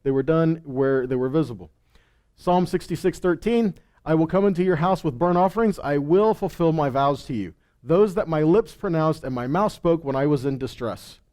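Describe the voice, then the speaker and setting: Male Bible teacher, slightly high-pitched, conversational sermon style, mixes scripture reading with plainspoken commentary and rhetorical questions. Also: normal speed, warm tone. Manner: conversational, punctuated with rhetorical questions and check-in words.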